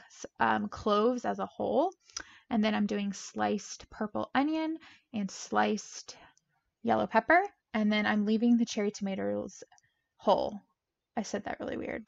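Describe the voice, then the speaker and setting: Bubbly chatty woman, slightly gravelly. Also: mid-range voice, no echo, muffled recording. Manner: bubbly, chatty, laughs between steps. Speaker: meal prep vlogger. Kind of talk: casual voice-over cooking narration. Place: home kitchen.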